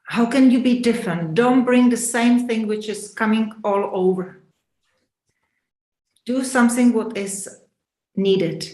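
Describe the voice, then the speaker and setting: A businesswoman gives firm, slow advice on an online panel, speaking in short sentences with nonnative phrasing. Her voice carries an echo from the room she is in. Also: a little high, darkish tone. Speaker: business owner, guest speaker.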